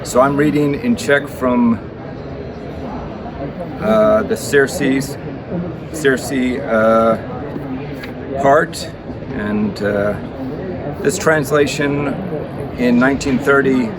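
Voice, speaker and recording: A man's voice: mid-range voice, drawn-out words, long pauses, noisy background. A man introducing a reading in a hesitant, casual way with many fillers. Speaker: bookshop owner, guest reader.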